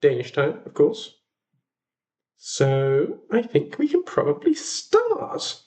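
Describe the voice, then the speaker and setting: Male livestream host, high-pitched voice, unhurried delivery, casual and chatty with halting phrasing. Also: huge pitch swings, a little mellow.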